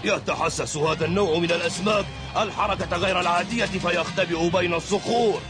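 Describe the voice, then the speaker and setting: Old kung fu master, sly and unhurried, cartoon dub, man actor; high-ish voice, light music under. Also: lightly acted. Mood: calm, teasing. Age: older. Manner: unhurried, teasing.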